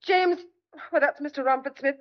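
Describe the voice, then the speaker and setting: Lady acting high voiced young country girl in a radio thriller, anxious, stubborn, earnest, bit muffled sound. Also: normal speed, natural voice. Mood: grim, insistent. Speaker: actress in an audio drama. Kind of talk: old-fashioned thriller audio drama acting.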